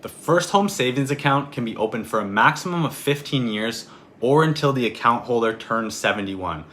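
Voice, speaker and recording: A man in his twenties from Canada, a real estate agent talking through an explainer video in a clear, steady way. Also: mid-range voice, moderate pace, a little hiss or hum. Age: 20s.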